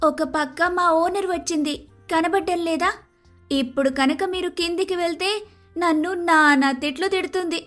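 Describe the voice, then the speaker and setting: A woman playing a hot-tempered young daughter-in-law, shrill, scolding and dramatic, in an animated moral story. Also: normal speed, soft background music. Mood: bossy, anxious.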